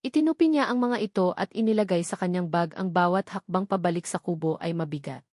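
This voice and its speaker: A woman with a Filipino accent narrating a scripted story in a dramatic, deliberate way. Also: on the low side, a little mellow, dry recording, clean studio sound. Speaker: audiobook narrator.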